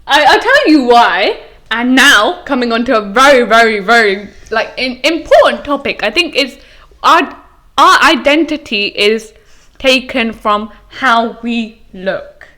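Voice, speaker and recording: A young woman from an Afghan Sikh family, co-hosting a casual podcast chat, animated, with frequent restarts. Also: fairly high pitch, a little slow. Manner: animated, restarts often, laughs through stories. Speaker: podcast co-host.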